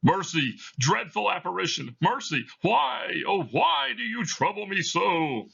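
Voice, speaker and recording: A man's voice: high-pitched, big pitch swings, unhurried, creaky, fairly bright tone, husky, highs cut off, voice pushed up, full character voice. A man playing a grumpy, skeptical old miser who is rattled yet defiant, blustering through a play reading. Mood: pleading. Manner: blustering, exclamatory.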